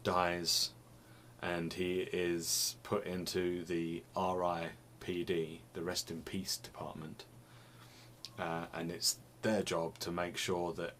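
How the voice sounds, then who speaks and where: A man's voice: deep voice, a bit bright, a little hiss or hum. A young man chatting casually to camera in a small home room, rambling and restarting his phrases, slightly sniffly. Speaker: vlogger.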